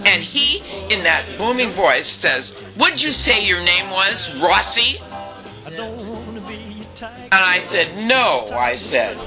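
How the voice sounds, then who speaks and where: Loud, chatty woman in her eighties. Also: medium pitch, slow pace, soft background music. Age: 80s. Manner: chatty, lively, quotes people in dialogue. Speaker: interviewee. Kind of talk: reminiscing storytelling.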